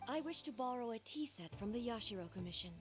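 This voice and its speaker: A woman voicing a regal female ruler-goddess in a video game, speaking in a measured, formal, reflective way. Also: fairly high pitch, animated, over music, close to an everyday voice.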